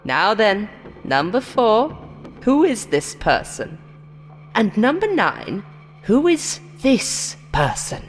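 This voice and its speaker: A woman voicing a monstrous game show host in a horror story, falsely friendly and hateful, with a taunting, showy, drawn-out delivery. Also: medium pitch, sing-song, in a large hall, faint music behind, voice pushed up. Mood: mock cheer.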